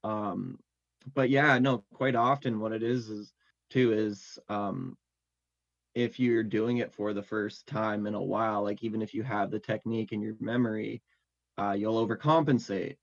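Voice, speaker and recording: A man's voice: lowish voice, fairly even intonation, normal speed, vocal fry. A man chatting casually as an interview guest about his music, rambling and full of fillers. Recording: dull lo-fi audio.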